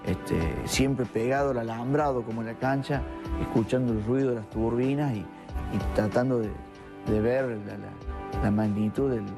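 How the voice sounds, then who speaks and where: A man in his sixties with a Córdoba Argentine accent, recalling his childhood in an interview with halting repetitions. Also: mid-range voice, steady tone. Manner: halting, repeating words as he recalls. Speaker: interviewee, engineer. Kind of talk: reflective interview. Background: music.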